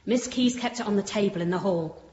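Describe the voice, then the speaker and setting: Actress as an earnest maidservant in echoey room. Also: female voice, mid-range voice, brisk, a little mellow, close to an everyday voice. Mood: matter-of-fact. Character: Victorian maidservant, earnest, naive, respectful and chatty. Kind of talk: radio courtroom drama acting. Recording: echoey, muffled, faintly noisy radio drama.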